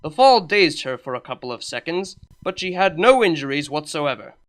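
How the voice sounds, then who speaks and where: Man narrating with wry, lively delivery. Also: fairly high pitch, normal speed. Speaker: fan fiction reader. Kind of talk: comedic story narration.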